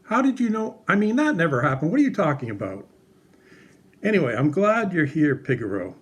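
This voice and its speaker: A man's voice: on the high side, very animated, fairly quick. A man presenting a casual Bible lesson for children in a puppet show, explaining things simply and conversationally.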